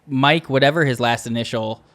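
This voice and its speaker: A man's voice: medium pitch, a little fast, fairly bright tone. A man co-hosting a casual comedic TV recap podcast, delivering his bits with joking mock seriousness. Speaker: podcast co-host.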